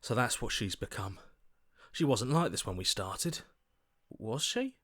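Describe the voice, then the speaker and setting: Earnest, questioning game hero. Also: male voice, fairly low pitch, expressive, unhurried, fairly bright tone, dry recording, studio quality. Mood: troubled, conflicted. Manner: conversational, questioning, exclamatory. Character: earnest, questioning young hero's inner voice, anxious and decent. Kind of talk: video game character voice acting.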